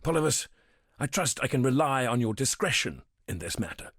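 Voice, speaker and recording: An actor playing a proud, commanding emperor in a video game, declaiming formally and deliberately. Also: male voice, mid-range voice, big pitch swings, fairly bright tone, breathy edge, dry recording, studio quality, heavily acted. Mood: lofty resolve. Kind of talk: scripted video game monologue.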